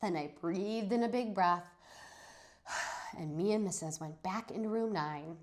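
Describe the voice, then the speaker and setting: A woman teacher giving an expressive read-aloud of a children's story, acting out the dialogue. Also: medium pitch, a little slow. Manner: animated, dramatizes dialogue. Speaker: teacher reading a chapter aloud for students.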